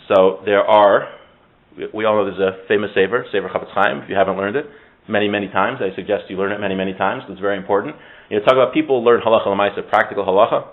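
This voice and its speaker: A man teaching an informal Torah class in a conversational, joking, self-interrupting way, sprinkling Hebrew terms into his talk. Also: lowish voice. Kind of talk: informal religious lesson with discussion. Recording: thin phone-like audio.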